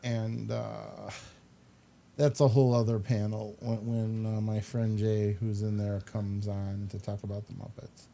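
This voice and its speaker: A man hosting a show, chatting casually and haltingly as he rambles through commentary on video clips. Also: lowish voice, little pitch movement, creaky, warm tone. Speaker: show host.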